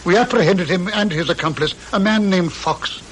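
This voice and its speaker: An actor playing a pompous, condescending English doctor and detective in a vintage radio drama, speaking precisely. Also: male voice, high voice, moderate pace, fairly bright tone. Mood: smug, dry. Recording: noisy old radio recording.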